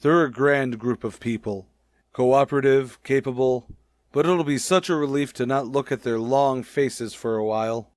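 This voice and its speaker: An actor playing a stuffy, bossy middle-aged high school principal in a vintage radio comedy, with formal, blustering delivery. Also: male voice, normal speed, no echo, studio quality, close to an everyday voice. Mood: contented.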